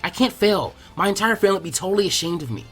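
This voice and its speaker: A man's voice: sits high, animated, very fast, a bit bright, voice pushed up. A man voicing a feisty, bossy and anxious teenage ninja girl with emphatic drama in a story reading. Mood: panicked. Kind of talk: animated fan fiction character reading. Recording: music behind the voice.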